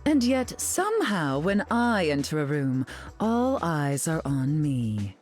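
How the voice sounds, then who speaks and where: A woman's voice: sits low, very animated, slow, a little mellow, soft background music, full character voice. A woman playing a haughty, glamorous, sardonic society queen in a video game, imperious and arch in manner. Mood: sardonic, amused. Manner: imperious, arch, drawling endearments. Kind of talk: video game character dialogue.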